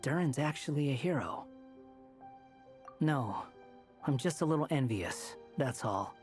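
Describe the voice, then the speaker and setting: Man playing aloof young wanderer, dry, mid-pitched. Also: expressive, a little slow, darkish tone, soft background music, natural voice. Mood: wry, reflective. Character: aloof sardonic young male wanderer, privately envious. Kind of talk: video game voice acting.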